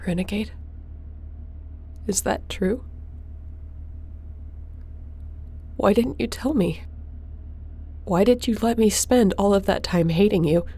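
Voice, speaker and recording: A woman's voice: mid-range voice, a little slow, pauses often, dark tone, breathy edge. A woman playing a tough, defiant young smuggler in a sci-fi audio drama, blunt, emotional and confrontational. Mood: hurt, anxious.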